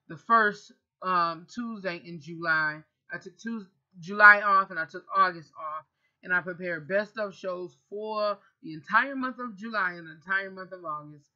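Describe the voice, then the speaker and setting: A woman's voice: fairly low pitch, sharp tone, dull audio. A woman in her thirties answering viewer questions in a casual, rambling vlog from her bedroom, recorded on a close webcam. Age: 30s. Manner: chatty, rambling, self-correcting. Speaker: vlogger and radio host. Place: bedroom, indoors. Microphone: webcam at close range.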